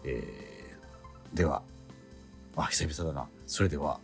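A man's voice: extremely low pitch, animated, slow, creaky. A man introduces a radio programme as its host. He is casual and conversational, checking in for agreement as he goes. His intonation swings widely over faint background music.

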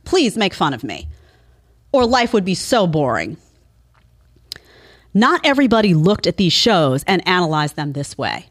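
Sarcastic woman commentary host, animated. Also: lowish voice, measured pace, pauses often. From Italian family background. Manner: sarcastic, incredulous, emphatic. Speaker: show host. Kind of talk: opinion commentary monologue.

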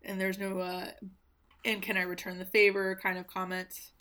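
A young woman with a middle-pitched voice and an almost neutral American accent, left from a Southern upbringing. She reads aloud from a novel and mocks it, with sarcasm and plenty of swearing. The talk is loose, joking podcast chat. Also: monotone, moderate pace, sharp tone. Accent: faint General American with little Southern trace. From Arkansas, US South. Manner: sarcastic, swears freely, laughs at the text. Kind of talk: casual comedic book-reading chat.

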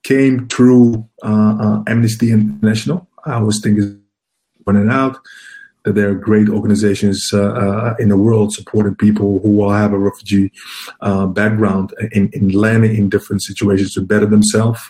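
A man in his forties from Ghana, speaking as an interview guest. His voice is low, dark and mellow, and it barely rises or falls. He talks haltingly, filling gaps with "um" and "you know" and repeating words as he goes. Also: little pitch movement. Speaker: guest, HR professional. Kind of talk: casual interview answers.

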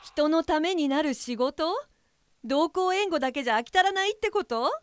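A woman's voice: high-pitched, animated, normal speed, slight background noise. A woman playing an earnest, anxious young care worker in an audio drama, flustered and questioning herself. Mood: agitated. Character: anxious, earnest young female guide helper for the blind. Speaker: voice actor. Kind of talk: audio drama acting with inner monologue.

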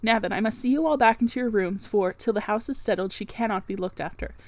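A woman's voice: mid-range voice, quick pace, no echo, natural voice. Storybook master of the house, played by a woman: imperious, brusque, teasing, formal period speech, dry recording. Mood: composed, commanding. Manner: imperious, formal old-fashioned phrasing. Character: commanding middle-aged English gentleman, brusque and teasing.

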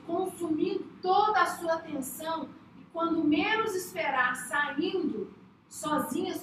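A woman's voice: high voice, sharp tone, slight background noise. A woman hosting, giving an emphatic motivational faith talk straight to her listeners. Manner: emphatic, exhorting the listener directly. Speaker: host. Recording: echoey room, voice from far off.

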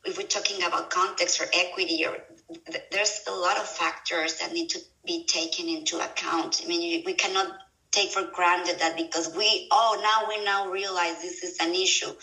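Gravelly woman, echoey room. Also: on the low side, steady tone, bright, forceful tone. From Mexico. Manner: halting, restarts and repeats words often. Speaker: guest, mental health professional. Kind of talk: conversational interview answers. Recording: echoey room, mic at a distance.

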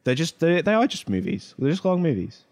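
A man's voice: on the high side, sing-song, brisk, a little mellow. A man co-hosting a pop culture podcast, chatting informally and giving his opinions. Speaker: podcast co-host. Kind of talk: casual pop culture chat.

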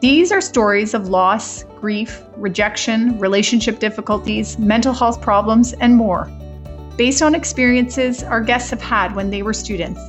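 A woman's voice: fairly high pitch, a little slow, soft background music. A woman hosting an interview podcast, reading a scripted intro and then chatting with frequent restarts. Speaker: podcast host.

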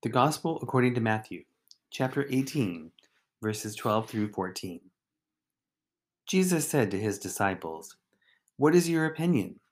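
A man reading a scripted daily devotional as a deacon and host, phrasing it deliberately in short, measured units. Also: medium pitch, animated. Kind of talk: scripted devotional reflection, read aloud.

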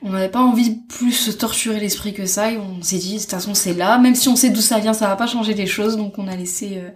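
A young woman in her twenties talks through her experience as an interview guest. Her delivery is halting and reflective, with sentences that trail off and restart. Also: mid-range voice. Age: 20s. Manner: halting, thoughtful, trails off with soft fillers. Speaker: interview guest, stay-at-home mother. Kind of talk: casual personal testimony.

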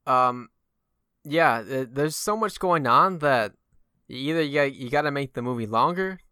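Bright, clear-voiced man talking movies. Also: medium pitch, lively intonation, unhurried, no echo, clean studio sound. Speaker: film podcast host. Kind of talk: casual film discussion.

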